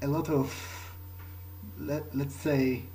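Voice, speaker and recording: Slow, musing male gamer, mellow voice. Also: mid-range voice, flat delivery, gravelly, slight background noise. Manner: hesitant, musing, halting. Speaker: gamer role-playing his character in a playthrough. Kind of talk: improvised in-character monologue over a video game.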